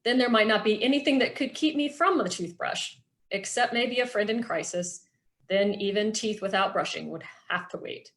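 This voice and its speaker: A middle-aged woman presenting a workshop with personal reflection, explaining things in an earnest, measured way. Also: medium pitch, fairly bright tone.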